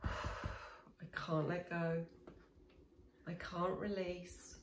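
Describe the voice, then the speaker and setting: A woman in her forties guiding a tapping session, speaking slow, soothing, repeated affirmations with sighs. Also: lowish voice, level tone, background noise. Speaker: EFT tapping coach. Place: small room indoors. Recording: echoey small room.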